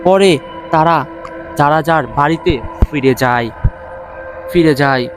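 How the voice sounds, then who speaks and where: Halting male storyteller, higher-pitched voice. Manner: halting, often repeats words. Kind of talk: scripted horror story narration. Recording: music behind the voice. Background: music.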